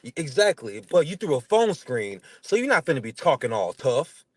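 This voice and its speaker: A man's voice: mid-range voice, big pitch swings, normal speed, slightly rough, no room sound. A man in his thirties with a stutter, chatting casually in a blunt, slangy, emphatic way as he tells his stories. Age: 30s. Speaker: interview guest. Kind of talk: casual chat, animated storytelling.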